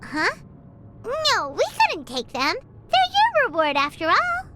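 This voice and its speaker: A woman voicing a small, excitable childlike fairy companion in a video game, chatty and squeaky. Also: slow pace, very noisy, exaggerated voice. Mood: surprised, modest. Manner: speaks of herself in the third person, animated. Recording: game audio with children's music behind.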